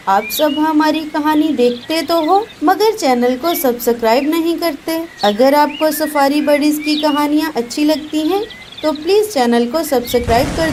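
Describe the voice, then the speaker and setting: Female story narrator, high voice, scripted children's storytelling, noisy track with birdsong.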